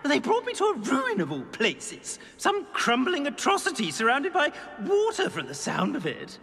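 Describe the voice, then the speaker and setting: Game role: haughty, blustering talking portrait of a wizard informant, hugely animated pitch, long reverb. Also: male voice, moderate pace, full character voice. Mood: self-important. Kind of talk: scripted game acting.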